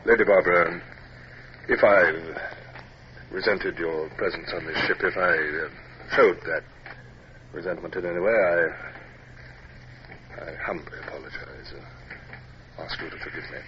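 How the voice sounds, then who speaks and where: Naval captain, lowish, formal, reserved yet humane, noisy vintage radio serial. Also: male voice, measured pace, long pauses. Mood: grave. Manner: formal, clipped, grave. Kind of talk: old radio drama acting and narration. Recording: muffled, noisy old shortwave radio drama.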